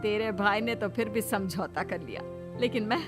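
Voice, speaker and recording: A woman's voice: medium pitch, moderate pace, music in the background, natural voice. A woman voicing a chiding, dramatic elderly mother-in-law in a family comedy story. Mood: plaintive. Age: older.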